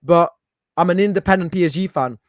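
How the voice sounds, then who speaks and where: A man's voice: high voice, fairly quick, no room sound, studio quality. A man from Paris with a French accent, speaking English in a casual podcast debate. He talks with passion and often repeats words while he searches for them. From Paris, France. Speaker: guest, football fan.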